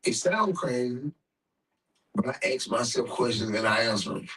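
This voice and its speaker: An American man with a slight cold, chatting reflectively in a halting, repetitive, slangy way. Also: mid-range voice, expressive, a bit bright, recorded from a distance, low-quality recording. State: sniffly with a cold. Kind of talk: casual reflective chat.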